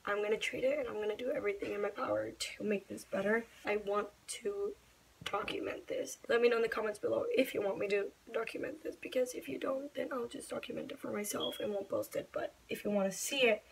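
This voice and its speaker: A young woman vlogging to camera in a small bedroom, talking earnestly and openly, her voice slightly raspy and breathy. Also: mid-range voice, steady tone, fast talker, slight background noise, rough recording. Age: young adult. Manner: earnest, open, reassuring. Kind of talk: personal vlog talk to camera.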